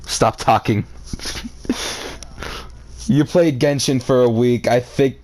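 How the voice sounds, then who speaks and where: A man's voice: medium pitch, steady tone, slow, a little mellow. A man reading a story aloud as a comedic reader, adding exasperated, sarcastic commentary in a theatrical way.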